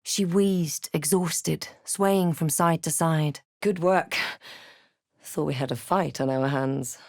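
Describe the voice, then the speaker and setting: A wary female adventurer from a fantasy video game. Her voice sits on the low side, with a measured, theatrical delivery and a dry, snarky edge. The sound is close, with no room on it at all. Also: a little mellow, no room sound. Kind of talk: video game voice lines, scripted barks and narration.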